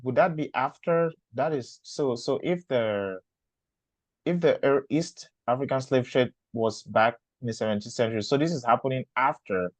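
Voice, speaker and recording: A man's voice: mid-range voice, moderate pace, no echo. A man hosting an interview, asking long questions while thinking aloud and affirming the guest. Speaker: host.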